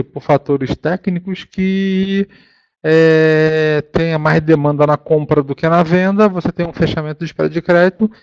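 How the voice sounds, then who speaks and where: A Brazilian man giving an informal business presentation as a fund manager, halting often, repeating words and correcting himself as he explains. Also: fairly high pitch, darkish tone, no echo. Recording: dull, lo-fi, dry conference call audio.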